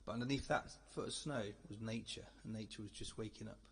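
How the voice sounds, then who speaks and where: A man's voice: fairly low pitch, fairly even intonation, fast. A man from England with an English accent, speaking as a musician introducing his own song. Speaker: amateur musician.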